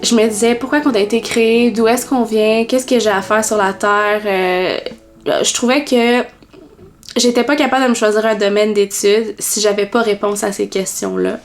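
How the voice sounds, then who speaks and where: A woman in her twenties with a Québécois accent, telling her personal faith story in a vlog with many pauses and fillers. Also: medium pitch, steady tone, faint music behind. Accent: Québécois French. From Quebec, Canada. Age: 20s.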